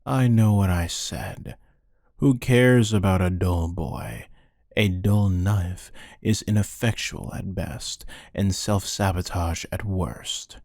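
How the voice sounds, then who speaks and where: A man acts out a cold-blooded killer interrogating a captive in an ASMR roleplay. His voice is deep and mellow, with a slow, deadpan delivery. He taunts with dry, menacing amusement. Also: gravelly, no echo, heavily acted. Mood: wry, musing. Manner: deadpan, taunting, unhurried with musing pauses. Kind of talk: scripted ASMR roleplay monologue.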